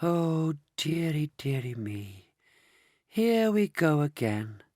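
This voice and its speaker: An eccentric candy inventor from a children's storybook, acted out by a man. He is fussy and courteous, with theatrical, lively intonation. The recording is dry. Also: high voice, moderate pace, no echo, pitched above the performer's own voice. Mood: muttering dismay.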